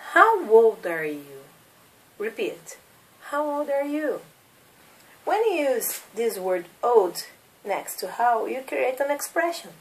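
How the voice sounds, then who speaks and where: A woman's voice: fairly high pitch, distant mic, rough recording. A woman in her thirties from Brazil with a Brazilian Portuguese accent, teaching English as a second language and drilling simple questions for learners to repeat. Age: 30s. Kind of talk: slow classroom drilling of questions.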